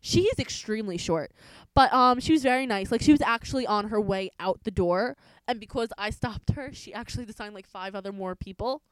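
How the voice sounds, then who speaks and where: Chatty young woman with a smooth voice. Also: mid-range voice, brisk, no room sound, low-quality recording. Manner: chatty, rambling with fillers and restarts. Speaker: podcast co-host. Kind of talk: casual chat.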